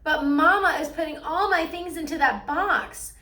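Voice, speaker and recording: A little girl bear cub from a storybook, played by a woman, pitched high. She protests loudly and whines with indignation in an echoey room. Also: normal speed. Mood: upset.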